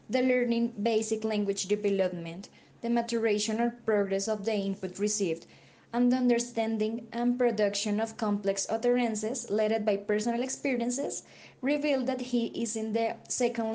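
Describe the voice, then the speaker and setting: A woman narrating a scripted academic analysis in a measured, read-aloud manner. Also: on the high side, warm tone. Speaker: student narrator.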